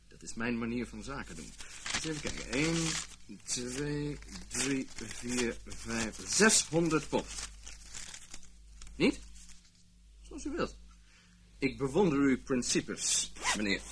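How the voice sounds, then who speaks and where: An actor playing a cunning, smoothly polite fraud detective in a Dutch radio play. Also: male voice, mid-range voice, big pitch swings, slow, long pauses. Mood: brash, goading. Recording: noisy old radio play recording, clicks.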